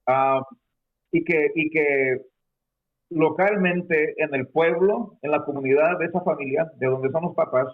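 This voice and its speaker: A man from peru talks as a guest over a narrow, tinny line, a bit high for a man, full of fillers and halting restarts. he speaks in a hesitant way. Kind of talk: conversational explanation. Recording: phone-like narrow sound.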